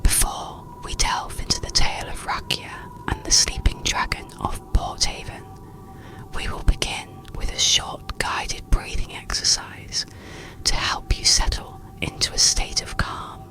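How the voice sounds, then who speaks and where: Hushed, breathy ASMR whisper, slow and soothing. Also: low-quality recording. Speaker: storyteller and guided relaxation narrator. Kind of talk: whispered ASMR bedtime story narration.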